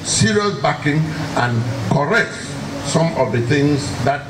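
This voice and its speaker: A man's voice: fairly high pitch, lively intonation, slow. An older man with a Nigerian accent giving a formal public address as an official. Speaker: electoral commission official. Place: public hearing hall at lectern. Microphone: lectern microphone. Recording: echoey noisy hall, distant mic.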